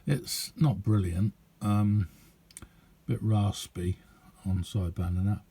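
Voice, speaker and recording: Bloke showing his hobby gear, really soft mellow voice, bit husky, chatty and unhurried, umming along. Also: on the low side, fairly even intonation. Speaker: radio hobbyist. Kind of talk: casual hands-on demonstration, rambling.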